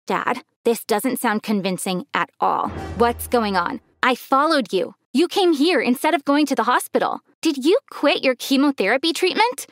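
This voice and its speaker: A woman's voice: on the high side, lively intonation, normal speed, hardly any pauses, a little hiss or hum. A woman playing an upset, insistent daughter confronting her father in a dramatized story. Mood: suspicious. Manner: emotional, insistent.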